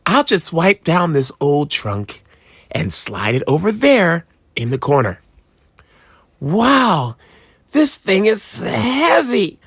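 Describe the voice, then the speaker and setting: Storyteller doing a high-pitched eager young boy. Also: wildly swooping pitch, takes it slowly, fairly bright tone, husky, no echo, studio quality, heavily acted. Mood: curious, busy. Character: eager young boy, chore-grumbling but curious. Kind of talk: children's storybook character voice.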